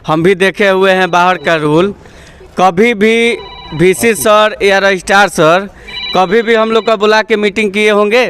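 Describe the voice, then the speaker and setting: A man's voice: sits high, fairly even intonation, unhurried, fairly bright tone. A young male student leader, complaining through rhetorical questions in an interview. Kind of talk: street interview answers.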